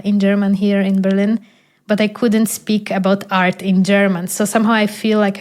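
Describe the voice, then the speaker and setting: A woman's voice: medium pitch, a little mellow. A young woman from Poland whose native language is Polish, hosting a podcast and talking thoughtfully, searching for words with many fillers. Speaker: podcast host. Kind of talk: casual conversation.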